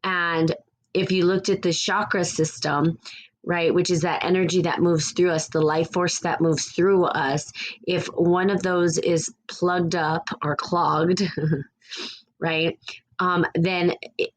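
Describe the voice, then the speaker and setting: A woman with a raspy voice hosting a podcast, talking casually in a rambling, reflective way. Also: lowish voice, little pitch movement, takes it slowly. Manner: rambling and reflective, with frequent restarts. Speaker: podcast host. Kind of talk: casual spiritual podcast talk.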